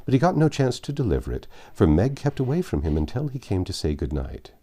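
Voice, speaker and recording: A man's voice: on the low side, big pitch swings, fairly quick, dark tone, slightly rough. A man narrating a classic novel expressively as an audiobook reader.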